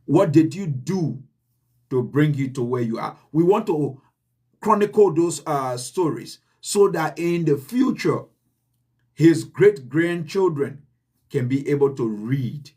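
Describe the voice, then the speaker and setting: A man with a Liberian accent giving an earnest, deliberate motivational talk to his channel's viewers, repeating his key points for emphasis. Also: on the high side, expressive, mellow, slightly rough. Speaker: channel host. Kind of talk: motivational address.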